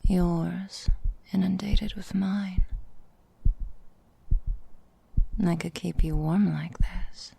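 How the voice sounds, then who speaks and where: Woman acting a slow, whispering, seductive vampire mistress. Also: fairly low pitch, fairly even intonation, mellow, exaggerated voice. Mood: seductive, hungry. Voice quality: breathy, husky whisper. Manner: slow, velvety, formal old-fashioned phrasing. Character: seductive, predatory ancient vampire mistress, tenderly menacing. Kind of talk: intimate ASMR roleplay.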